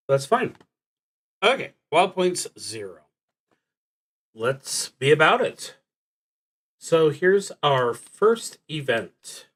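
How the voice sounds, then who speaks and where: A man livestreaming a solo roleplaying game, thinking aloud in an unscripted, deliberative way with frequent pauses and restarts. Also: a little high. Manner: halting, deliberative, often trailing off and restarting.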